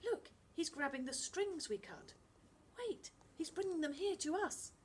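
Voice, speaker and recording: A woman's voice: very high-pitched, expressive, measured pace, a little hiss or hum, pitched above the performer's own voice. A woman voicing a fierce, impatient girl who snaps at others in a raised voice. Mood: excited. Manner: sharp and raised.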